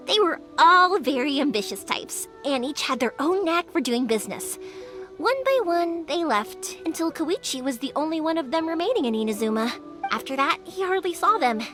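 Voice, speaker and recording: A woman's voice: sits high, lively intonation, normal speed. An actress playing a cheerful, bold young woman in a video game, breezy and chatty in delivery. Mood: storytelling. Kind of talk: English video game voice acting. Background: background music.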